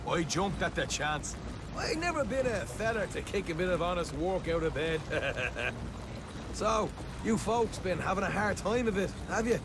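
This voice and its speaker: An actor playing a chatty young Irish outlaw bluffing as a delivery driver in a video game, with glib, ingratiating patter. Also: male voice, sits high, animated, moderate pace, background noise. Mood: chummy, ingratiating. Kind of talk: video game cutscene acting.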